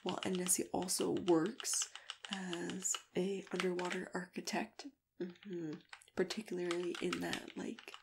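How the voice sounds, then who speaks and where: Asmr lady, soft breathy half whisper w lots of vocal fry, gentle halting consultant roleplay, typing and clicky noise close on mic. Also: on the low side, flat delivery. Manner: gentle, unhurried, halting, with small agreeing hums. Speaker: ASMR roleplayer acting as a monster matchmaking consultant. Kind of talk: soft-spoken ASMR roleplay, improvised one-sided client consultation. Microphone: close microphone.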